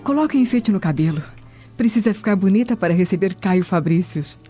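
A woman's voice: medium pitch, lively intonation, brisk, dark tone, breathy edge. A woman playing a fussy, affectionate Roman mother in a radio drama. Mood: urging. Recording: dull lo-fi audio with music.

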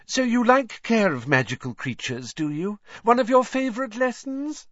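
A man doing a syrupy, nosy woman reporter, pitched up high and fizzing with fake enthusiasm. She swoops about in her questions, bright and prying. The recording is dry and a little dull. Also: moderate pace, no echo, heavily acted. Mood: gushing. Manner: falsely sweet, prying, lively. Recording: slightly dull, dry studio audio.

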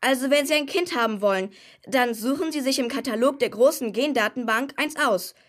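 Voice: sits high, brisk, fairly bright tone. A young performer playing a chatty, cocky boy superhero who likes to explain things, in a children's radio play. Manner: breezy, eager, explanatory, casual.

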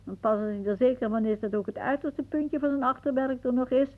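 Radio drama, thin boxed-in audio: an aphorising older lady, dry-witted and quick to irritation. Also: sits high, steady tone. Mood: philosophical, dry. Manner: musing, dryly aphoristic. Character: wry, philosophising older woman, easily irritated. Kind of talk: radio play acting. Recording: muffled, phone-like old radio recording.

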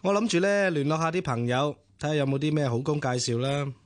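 A man's voice: on the high side, brisk, close to an everyday voice. A man acting a charming, flirtatious amateur poet in a Cantonese radio drama, with a Hong Kong accent and an easygoing, bantering way of talking.

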